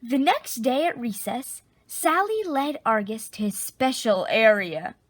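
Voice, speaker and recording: A woman reading a children's picture book aloud, expressively, with drawn-out dramatic emphasis. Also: on the high side, animated, no room sound. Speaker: storybook reader.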